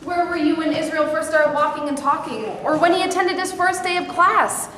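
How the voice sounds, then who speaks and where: Lady reading an outraged devout mom, scolds hard, high pitch, roomy stage sound. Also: moderate pace, fairly bright tone, slight background noise. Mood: indignant. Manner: indignant, scolding. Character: outraged devout adoptive mother. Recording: echoey hall with long reverb.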